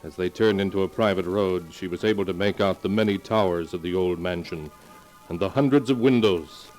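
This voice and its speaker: A man's voice: low-pitched, brisk, a little mellow, rough recording. A man giving ominous, dramatic scripted narration for a 1940s radio melodrama. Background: dramatic music.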